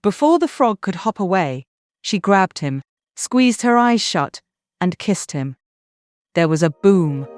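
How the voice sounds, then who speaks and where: A woman narrating a children's bedtime story in an expressive, scripted way. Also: mid-range voice, dry recording.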